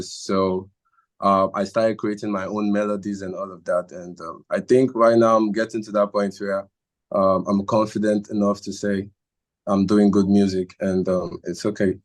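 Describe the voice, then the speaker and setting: A young man, a musician answering interview questions in an earnest, halting way that circles back on his words. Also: sits low, little pitch movement. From Nigeria. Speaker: musician guest.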